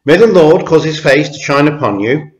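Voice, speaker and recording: A middle-aged man, a church minister, giving notices and blessings to camera in a measured, formal way inside a stone church. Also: a little high, expressive. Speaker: church minister leading a service. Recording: echoey stone church interior.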